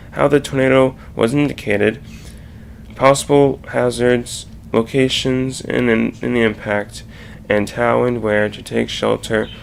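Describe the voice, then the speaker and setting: A man narrating a slide presentation in a halting, explanatory way. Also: mid-range voice, vocal fry. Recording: faint background noise.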